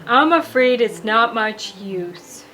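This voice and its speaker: Woman playing a fretful storybook dad, high-voiced. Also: animated, slow, pushed and bright, full character voice, rough recording. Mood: dejected. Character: worried, self-blaming father in a children's story.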